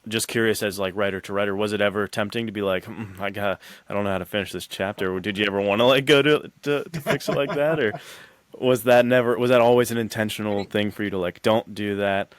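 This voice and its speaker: A man's voice: on the low side, steady tone, quick pace, clean tone, no room sound. A man hosting a casual podcast interview, talking informally and voicing imagined remarks as he goes. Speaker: podcast host and writer.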